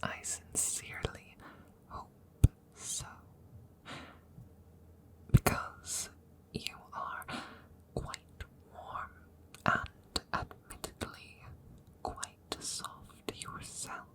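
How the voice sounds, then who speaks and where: A woman voicing a courteous, smitten female dragon in a hesitant, formal ASMR roleplay, whispering softly and breathily close to the microphone. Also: drawn-out words, long pauses. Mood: tender, intimate. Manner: hesitant, formal, old-fashioned phrasing with murmured repetitions.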